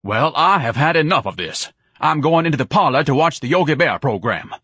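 A man's voice: a little high, lively intonation, a little fast, a bit bright, husky, no room sound, clean studio sound, pitched above the performer's own voice. A man voicing a pompous, bombastic grown son who talks in a huffy, grandiloquent way. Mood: indignant.

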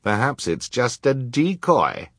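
Man playing an earnest, higher-voiced male librarian. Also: very animated, takes it slowly, breathy edge, dry recording, clean studio sound, exaggerated voice. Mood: careful, explaining. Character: earnest, pedantic male librarian, defiant under threat.

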